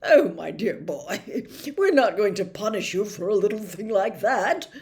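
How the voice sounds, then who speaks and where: A woman's voice: mid-range voice, animated, measured pace, darkish tone. A woman voicing a jovial, blustering middle-aged male government minister in a storybook reading, effusive and given to hemming. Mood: jovial, dismissive. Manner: effusive, hesitant, hemming and throat-clearing. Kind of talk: audiobook character reading.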